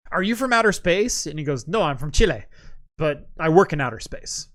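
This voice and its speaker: Wry man with a lively, higher voice. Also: brisk. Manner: wry, joking. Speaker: co-host. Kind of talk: casual chat recapping a TV show.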